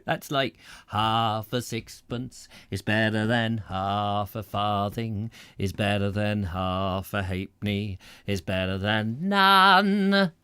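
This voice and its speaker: A man's voice: on the low side, takes it slowly, few pauses, pushed and bright, clear, resonant tone, dry recording. A man voicing a short-tempered old rural man who grumbles and blusters in exasperation. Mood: pleased.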